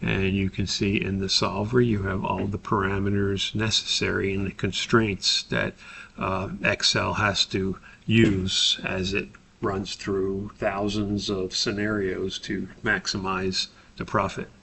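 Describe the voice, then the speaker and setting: A man giving a methodical, step-by-step software tutorial as an instructor, explaining in a somewhat hesitant way. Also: low-pitched, level tone, unhurried, vocal fry, a little hiss or hum.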